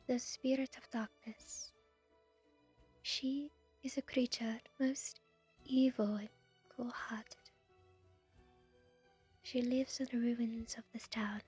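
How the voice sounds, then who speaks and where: A woman voicing a gentle, solemn guardian spirit of light in an ASMR fantasy roleplay, speaking softly in a hushed, measured way. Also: on the high side, flat delivery, faint music behind, exaggerated voice. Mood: grave, wary. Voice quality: soft, breathy, half-whispered. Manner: slow, measured, hushed delivery.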